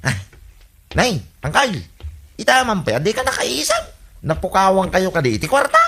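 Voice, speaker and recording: A performer playing a cheeky, excitable young relative who taunts an uncle, giggly and exaggerated, in an Ilocano radio comedy drama with an Ilocano Filipino accent. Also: medium pitch, measured pace, creaky, noisy background, heavily acted. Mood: teasing.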